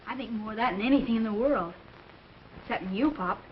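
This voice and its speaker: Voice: mid-range voice, fast, slight background noise, close to an everyday voice. A performer playing an eager, sweet American boy in a vintage film style, on a muffled old soundtrack. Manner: earnest, colloquial. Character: eager, sweet orphan boy devoted to his father. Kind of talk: vintage Hollywood film acting.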